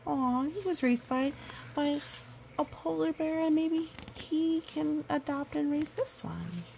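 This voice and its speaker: A woman reading a picture book aloud to children, gentle and nurturing, with chatty asides. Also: high-pitched, expressive, slow pace, gravelly, slight background noise.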